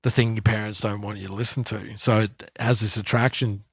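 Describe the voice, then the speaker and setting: A man's voice: lowish voice, flat delivery, fairly quick, gravelly, slightly rough, dry recording, clean studio sound. A man co-hosting a podcast, talking casually and sharing personal anecdotes. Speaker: podcast co-host.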